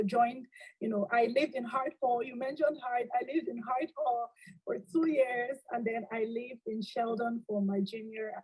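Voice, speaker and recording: A woman's voice: a little high, a little mellow, husky, poor audio. A woman in her twenties from Cameroon with a Cameroonian accent, answering interview questions over a video call in a friendly way, with many ums and "you know". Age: 20s. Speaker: guest, alumna accountant.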